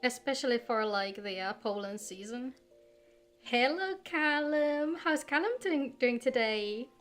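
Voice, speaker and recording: A woman talks casually to the viewers of her game livestream. She is chatty and informal, with game music playing softly behind her. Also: fairly high pitch, measured pace. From Finland. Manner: chatty, greets viewers by name.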